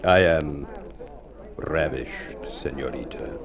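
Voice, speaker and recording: An actor playing a proud, suave Englishman with a grand, deliberate, theatrical delivery in an old radio drama. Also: rumbling low, lively intonation, highs cut off, crowd noise, heavily acted. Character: ugly, masterful, silver-tongued Englishman, suave and proud. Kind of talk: 1950s radio drama acting and narration. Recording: old radio recording with music.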